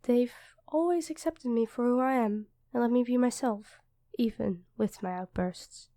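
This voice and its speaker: A woman's voice: on the high side, moderate pace, mellow, no echo, clean studio sound, lightly acted. A woman playing a feisty, protective demon queen who is a devoted girlfriend, commanding yet affectionate, in an ASMR roleplay. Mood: tender, earnest.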